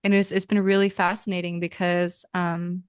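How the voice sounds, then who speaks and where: Guest: young woman raised in Lithuania and the US, smooth voice, dry close sound, earnest, rambling, lots of "um"s. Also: on the low side, little pitch movement, normal speed, dry recording. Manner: earnest, meandering, many fillers. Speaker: podcast guest. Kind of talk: casual interview conversation.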